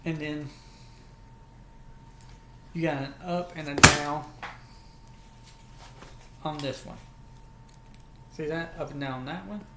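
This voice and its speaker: A man's voice: fairly high pitch, fairly even intonation, slow pace, pauses often. A man with a Southern US accent casually showing and explaining an item as a video host, in a folksy, repetitive way. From United States, Alabama. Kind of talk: casual vlog product show-and-tell. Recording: echoey room with faint background noise.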